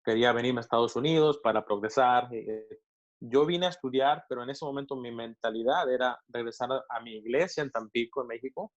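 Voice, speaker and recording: A middle-aged man from Mexico, a pastor with a Mexican Spanish accent, answering interview questions thoughtfully and often pausing to restart his sentences. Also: medium pitch, muffled recording. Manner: thoughtful, with many hesitations and restarts.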